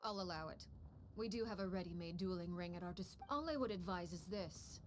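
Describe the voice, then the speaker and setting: A woman voicing a stern, commanding head of a household of orphans, speaking in a cold, measured way. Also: lowish voice, in a large hall, lightly acted. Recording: game audio under a stream, faint noise.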